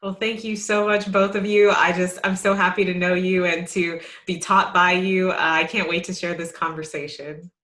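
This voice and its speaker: A woman's voice: on the low side, flat delivery, moderate pace, hardly any pauses, fairly bright tone. A young adult woman interviewing two priests on a video call, asking open questions and thanking them. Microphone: video call webcam.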